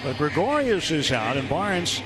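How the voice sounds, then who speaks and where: A man's voice: fairly high pitch, normal speed, rough recording. A man calling baseball play-by-play live on the radio in short, clipped phrases. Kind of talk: live radio sports commentary. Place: stadium broadcast booth. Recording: steady stadium noise behind voice. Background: ballpark ambience.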